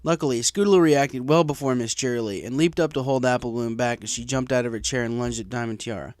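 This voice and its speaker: A man's voice: medium pitch, quick pace, darkish tone, no room sound, studio quality. A man reading a story aloud as scripted narration. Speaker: fan fiction reader. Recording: clear background noise.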